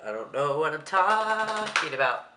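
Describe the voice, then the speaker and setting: A man's voice: fairly high pitch, very animated, unhurried, roomy sound, background noise. A young man from Maryland vlogging casually with a stuffy, congested voice, talking in a rambling, slightly anxious way. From Maryland, USA. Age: young adult. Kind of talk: casual vlog monologue.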